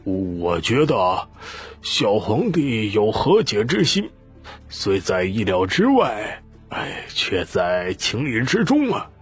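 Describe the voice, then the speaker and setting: A man voicing a genial old nobleman in an audiobook, patient and persuasive, with drawn-out, coaxing phrases and sighing interjections. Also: fairly low pitch, huge pitch swings, breathy edge, faint music behind, exaggerated voice.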